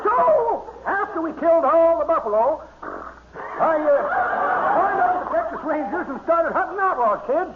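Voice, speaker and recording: A man's voice: extremely high pitch, big pitch swings, moderate pace. An actor playing a boastful, spry old man spinning folksy tall tales in 1950s radio comedy style. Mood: boastful, gleeful. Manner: folksy, drawling yarn-spinning. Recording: tinny, noisy old radio recording.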